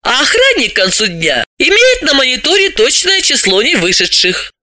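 A man voicing a brisk, self-assured woman sleuth with a mocking streak, explaining things emphatically in an audiobook. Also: very high-pitched, huge pitch swings, sharp tone, dry recording, clean studio sound, pitched above the performer's own voice, heavily acted. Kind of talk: audiobook character voice.

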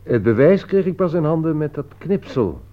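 A man's voice: a little high, sing-song, normal speed, slight background noise. An actor playing a shrewd, sardonic male crime writer in a radio play, with a dry, wry way of talking. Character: dryly witty male detective-story writer, shrewd and sardonic. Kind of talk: radio play acting in Dutch. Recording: dull, muffled old radio recording.

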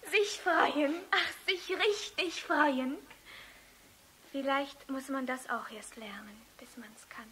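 Dreamy young lover, high breathy voice, adoring, often whispering, radio drama, hissy old recording. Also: female voice, slow, pushed and bright, exaggerated voice. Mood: dreamy, rapturous. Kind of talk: German radio play acting.

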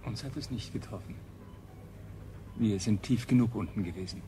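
An actor playing a detached, clinical scientist in a science fiction radio play, speaking formally. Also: male voice, on the low side, normal speed, dark tone, close to an everyday voice. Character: clinical male scientist, coolly listing injuries. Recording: faint noise, 1970s radio production.

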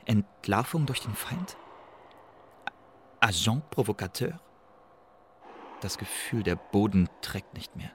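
An actor playing an uneasy secret agent in a radio play, giving formal, clipped reports with agitated edges. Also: male voice, lowish voice, expressive, slow pace, long pauses, a bit bright, slight background noise. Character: male secret agent Leander reporting anxiously to his superiors.

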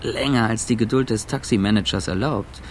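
A man's voice: fairly low pitch, fairly quick, a little hiss or hum, lightly acted. An actor playing a weary, self-observing seducer who narrates his own story in second person in a radio drama. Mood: intimate, observant.

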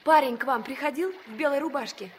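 A high, youthful female voice , acted by a woman plays an impatient granddaughter full of worry. It is a Soviet radio drama, an old recording with clear noise behind the voice. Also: fast talker, close to an everyday voice. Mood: urgent, pleading. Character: anxious, impatient young granddaughter aboard a riverboat. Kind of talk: Soviet radio play acting. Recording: noisy old mono radio play recording.

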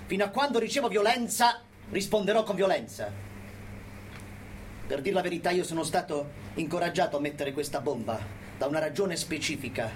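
Guy doing a proud defiant revolutionary in jail, highish male voice, firm theatrical monologue, slight background noise. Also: animated, fairly quick, natural voice. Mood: defiant, resolute. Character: unrepentant militant male revolutionary prisoner, proud and combative. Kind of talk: theatrical monologue, radio play.

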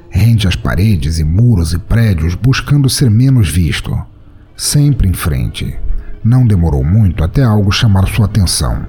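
Man, Brazilian accent, fiction narration, lively varied intonation, ironic, soft music behind. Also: low-pitched. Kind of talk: dramatic scripted fiction narration.

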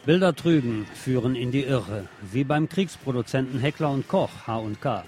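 A man's voice: medium pitch, animated, moderate pace. Man presenting scripted radio commentary over music. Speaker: radio host.

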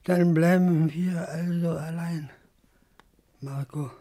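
Radio drama role: fearful, faltering man, highish voice, slow halting delivery. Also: fairly even intonation, dark tone. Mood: weak, resigned. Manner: halting, repeating words, faltering. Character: anxious man named Anton, faltering and fearful. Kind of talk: 1950s German radio drama acting.

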